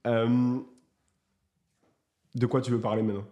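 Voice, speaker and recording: A man's voice: mid-range voice, level tone, a little slow, mellow, lo-fi sound. A man hosting a casual interview, talking with many hesitations and restarts. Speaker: host.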